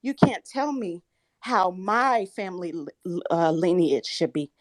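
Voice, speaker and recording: A woman's voice: lowish voice, expressive, measured pace. A woman in her thirties from the Southern United States, calling into a live talk room and speaking earnestly with a slightly shaky voice. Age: 30s. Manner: soft-spoken, earnest, grows firm and insistent when challenged. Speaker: caller. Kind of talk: live audio chat room.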